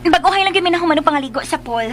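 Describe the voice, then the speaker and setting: Jealous Filipino wife, very high pitch, sugary theatrical delivery, radio drama, faint noise behind. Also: female voice, lively intonation, racing. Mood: flustered, fake sweet. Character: sweet-talking, jealous wife in a family drama.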